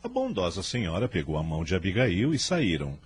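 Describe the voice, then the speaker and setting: A man's voice: low-pitched, wildly swooping pitch, brisk, slightly rough. A man narrating a radio drama from a script in a formal, literary storytelling manner.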